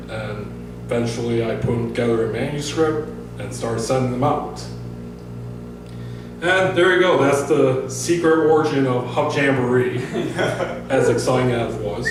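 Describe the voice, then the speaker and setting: Echoey, distant American man at a reading. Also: mid-range voice. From northern Michigan, United States. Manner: halting, informal, self-deprecating. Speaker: author at a public reading. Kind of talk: casual spoken introduction and story reading to a small audience. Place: bar-like event venue, recorded from across the room. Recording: echoey venue, distant mic, music behind.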